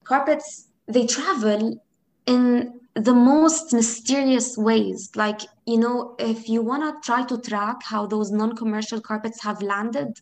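A woman from Lebanon with a Lebanese accent, Arabic her first language, speaking reflectively as an interview guest and often pausing to rephrase her thoughts. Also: on the high side, steady tone. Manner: reflective, thinks aloud, frequent "you know" and restarts. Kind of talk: conversational interview.

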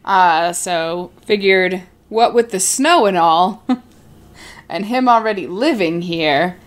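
A woman's voice: on the low side, takes it slowly. A woman co-hosting a podcast from her apartment, chatting casually with many fillers and frequent laughs. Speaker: podcast co-host. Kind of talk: casual chat. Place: home apartment. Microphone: shared desk mic moved between speakers.

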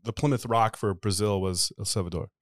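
A man's voice: on the low side, fairly even intonation, a little fast, no echo, clean studio sound. A man hosting a podcast, joking and teasing his co-hosts in casual chat. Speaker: podcast host.

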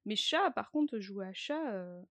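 A woman co-hosting a casual podcast, chatty, often pausing to search for her words. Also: medium pitch, lively intonation, no room sound. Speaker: podcast co-host.

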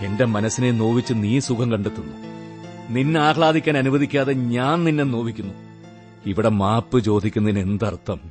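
An actor playing a wounded, world-weary young husband in a radio drama, sardonic and melancholy, speaking in measured phrases. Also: male voice, medium pitch, a little mellow, faint music behind, close to an everyday voice. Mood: anguished, bitter. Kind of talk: Malayalam radio drama acting.

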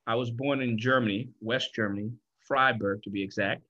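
A man from Liberia talking as an interview guest, telling his story in a thoughtful, deliberate way. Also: medium pitch, fairly even intonation, sharp tone, lo-fi sound. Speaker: guest, founder of a financial firm.

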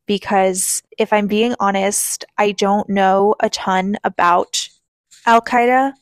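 A woman's voice: mid-range voice, steady tone, takes it slowly, no echo. Young American woman, dry close recording. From New York, United States. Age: 20s. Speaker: podcast host. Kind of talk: personal storytelling monologue.

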